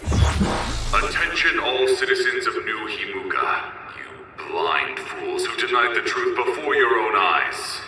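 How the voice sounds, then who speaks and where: A commanding male revolutionary in a game drama. His oratory is defiant and very sharp. It comes across roomy and a little distant, like a broadcast over faint noise. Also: medium pitch, big pitch swings, takes it slowly, soft background music, heavily acted. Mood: scornful, defiant. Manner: oratorical, forceful, declamatory. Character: stern male military rebel leader, defiant and commanding. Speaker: rebel leader broadcasting a speech. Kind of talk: dramatic game voice acting, public proclamation.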